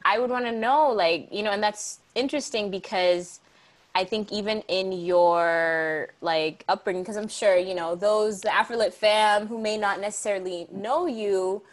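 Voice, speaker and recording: A woman's voice: medium pitch, normal speed. A young woman hosting a casual interview, asking long, rambling questions full of fillers. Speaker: interviewer host.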